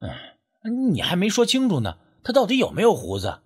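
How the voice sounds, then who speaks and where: A man acts out a curious but irritable skeptic in an audiobook ghost story. He probes bluntly, with a slightly high, husky voice and highly animated intonation. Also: normal speed, full character voice. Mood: probing. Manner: probing, blunt questioning. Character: Ah Mao, inquisitive, irritable, skeptical male friend.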